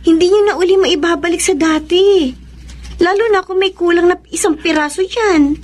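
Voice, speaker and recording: A woman playing a supportive, sensible grown daughter in a radio drama, expressive and earnest. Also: very high voice, moderate pace. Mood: helpful. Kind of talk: Tagalog radio drama acting.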